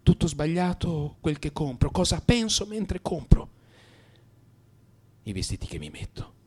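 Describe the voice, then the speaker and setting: An actor playing a troubled, melancholy man in crisis in a radio drama monologue, brooding and introspective, blaming himself in halting, repeated phrases. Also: fairly high pitch, sing-song, a little mellow, slightly rough, exaggerated voice. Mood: despondent, self-blaming.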